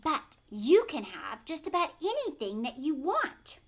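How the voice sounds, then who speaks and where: A woman voicing an excitable, proud male candy inventor with grandiose, emphatic delivery, as in a storybook reading. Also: sits high, a little slow, voice pushed up, full character voice. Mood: grand, proud.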